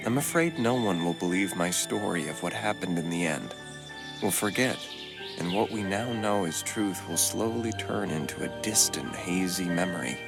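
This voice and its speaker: An actor playing a weary, mournful survivor in a video game, somber and reflective. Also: male voice, low-pitched, moderate pace, creaky, over music. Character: weary, pitiful male hermit, mournful survivor. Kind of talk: video game character acting.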